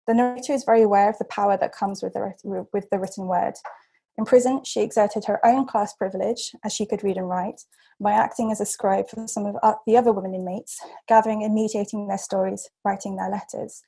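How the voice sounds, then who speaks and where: A young woman presenting an academic paper over a video call, measured and careful, with frequent ums and self-corrections. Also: medium pitch, steady tone, gravelly, dark tone, slightly rough, rough recording. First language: English. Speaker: seminar speaker.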